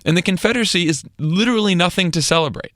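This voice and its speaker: A man's voice: sits high, racing, a bit bright, no room sound, clean studio sound. An American man co-hosting a podcast, giving casual political commentary in an emphatic, conversational way. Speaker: podcast co-host.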